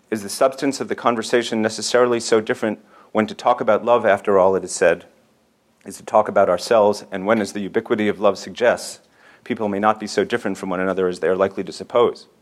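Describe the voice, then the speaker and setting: Low-pitched man, formal literary introduction. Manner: formal, erudite, deliberate phrasing. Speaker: event host introducing an author. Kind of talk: scripted literary introduction read aloud.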